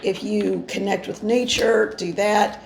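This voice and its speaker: Gravelly-voiced woman in an echoey room. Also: medium pitch, fairly even intonation, unhurried. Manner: meandering, earnest, gentle encouragement. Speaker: spiritual channeler and card reader. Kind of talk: informal spiritual card reading to camera. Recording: echoey room with faint noise.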